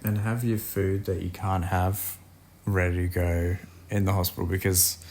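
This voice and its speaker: Deep-voiced joking man. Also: little pitch movement, normal speed, a little mellow. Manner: joking, chimes in. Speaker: partner of the mother. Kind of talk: casual chat.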